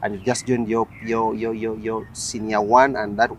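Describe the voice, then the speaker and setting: A man's voice: on the low side, moderate pace, slight background noise. A Ugandan man hosting a podcast interview, chatting casually and switching between Luganda and English, often filling with "mm" and "uh" and repeating words. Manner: relaxed, frequent "mm" and "uh", repeats words. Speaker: podcast host.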